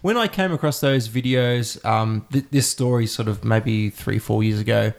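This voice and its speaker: A man of middle pitch co-hosting a podcast in casual chat. He tells stories with animation and acts out his own reactions as he goes. He speaks into a podcast microphone on a stand. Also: moderate pace, gravelly. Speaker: podcast co-host.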